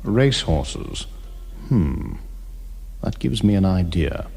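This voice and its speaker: A man's voice: deep voice, big pitch swings, slow, gravelly, full character voice. An actor playing a suave, unflappable male British secret agent in an audio storybook. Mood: wry, amused. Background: background music.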